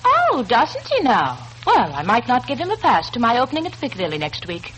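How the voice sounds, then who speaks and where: Lively, high-voiced young radio ingenue. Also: female voice, a little fast, slight background noise, low-quality recording. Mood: pert, teasing. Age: young adult. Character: spirited, confident young stage actress, kindly niece. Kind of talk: 1940s radio drama acting.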